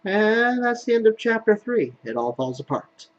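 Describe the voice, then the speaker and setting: A man's voice: high voice, big pitch swings, moderate pace, lo-fi sound. A man giving casual, rambling commentary as he plays through a video game. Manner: rambling, offhand. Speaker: gaming video host. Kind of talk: casual let's play commentary.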